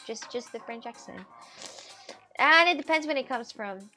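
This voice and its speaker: A woman's voice: on the high side, expressive, normal speed, bright, forceful tone, soft background music. A woman from Russia in her twenties, chatting casually and animatedly on a live stream, often repeating herself.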